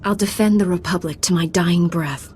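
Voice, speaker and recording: A woman playing a steadfast, earnest Jedi hero in a video game, calm and resolute. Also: lowish voice, normal speed, husky, soft background music.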